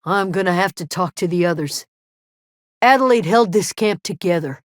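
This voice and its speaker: A woman playing a gruff, guarded frontier settler who watches over a camp, terse and plain-spoken, in a video game. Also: lowish voice, moderate pace, dry recording, studio quality, lightly acted. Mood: troubled. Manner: terse, wary, plain-spoken with folksy drawn phrasing. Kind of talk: video game character dialogue.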